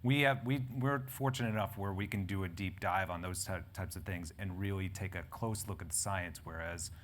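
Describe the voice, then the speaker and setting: A man's voice: low-pitched, fairly quick, fairly bright tone. A man moderating a live panel discussion, talking in a rambling way with many restarts and fillers. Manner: rambling, self-correcting, frequent fillers. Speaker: panel moderator and editor of a health publication. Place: conference event in front of an audience.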